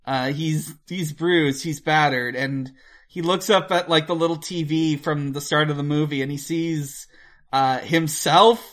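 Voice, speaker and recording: Slow talking podcast cohost, hesitant, kinda dry humour, just chatting about a movie. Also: medium pitch, steady tone, fairly bright tone. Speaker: podcast co-host. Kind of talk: casual film discussion.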